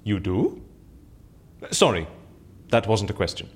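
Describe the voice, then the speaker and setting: An actor playing an exasperated, sardonic fixer of faked deaths in an audio drama, with a theatrical manner. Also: male voice, on the low side, sing-song, takes it slowly, husky, heavily acted. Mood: dry, composed. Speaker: fixer who stages fake deaths. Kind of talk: audio fiction acting.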